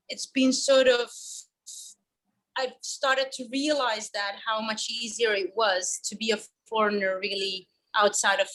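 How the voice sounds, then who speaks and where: A woman's voice: high-pitched, fairly bright tone, low-quality recording. A woman in her thirties from Finland, speaking on a video call as a webinar panelist and telling her story candidly, with fillers and restarts. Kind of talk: conversational storytelling.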